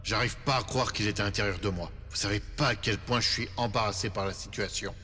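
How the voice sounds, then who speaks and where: A man playing a brash, foul-mouthed, easily embarrassed teenage punk in an anime fan dub, gruff, slangy and defensive. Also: lowish voice, brisk, bright, forceful tone, a little hiss or hum. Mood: embarrassed, sincere.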